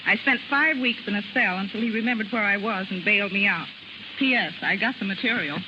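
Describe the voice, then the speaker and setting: A woman's voice: medium pitch, brisk, background noise. Wry woman on muffled 1930s radio. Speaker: film script girl, radio guest. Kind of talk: scripted 1930s radio guest talk.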